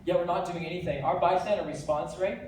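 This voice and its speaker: A teenage American man speaking on stage, earnest and energetic, his voice sitting a little high. He sounds distant from the microphone, with heavy echo filling a large hall. A faint hiss of noise sits underneath. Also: quick pace. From United States. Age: teens. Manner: earnest, energetic, with light self-deprecating jokes. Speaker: TEDx speaker. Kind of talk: stage talk to a live audience.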